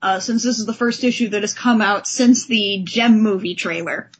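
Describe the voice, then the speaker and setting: A woman's voice: medium pitch, normal speed, slightly rough. A woman hosting a discussion podcast about comic books. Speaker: podcast host. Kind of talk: comic book discussion with dramatic letter reading. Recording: dull, lo-fi podcast audio.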